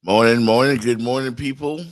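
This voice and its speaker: A man's voice: mid-range voice, steady tone, moderate pace, dry recording, clean studio sound. A man from the Bahamas co-hosting a casual morning show, often repeating phrases for emphasis. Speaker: talk show co-host.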